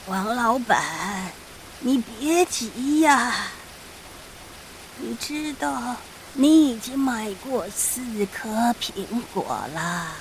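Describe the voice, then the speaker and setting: Slow, sly elderly shopkeeper acted by a woman. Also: medium pitch, slight background noise, rough recording. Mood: coy, haggling. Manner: slow, drawling, teasing, chuckling menace. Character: sly, greedy elderly shopkeeper of sinister magic apples. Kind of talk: audio drama acting.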